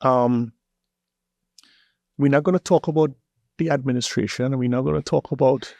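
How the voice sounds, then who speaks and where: Man, Trinidadian accent, gravelly, mid pitch, dry sound, relaxed guest, repeats words. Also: normal speed, no echo. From Trinidad and Tobago. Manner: relaxed, repeats words while searching for them. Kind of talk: casual interview talk.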